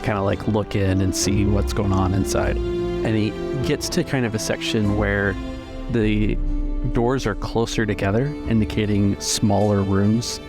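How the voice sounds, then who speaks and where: A man acting as game master, narrating an improvised tabletop game in measured phrases with pauses between them. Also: fairly low pitch, flat delivery. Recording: music bed behind the voice.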